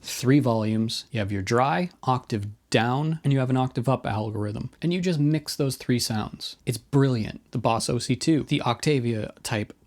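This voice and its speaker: A man's voice: medium pitch, normal speed, few pauses, darkish tone. A man hosting a guitar gear video, talking through things in a casual, informal explainer style with opinionated, wryly self-deprecating asides. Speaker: host of a guitar effects video.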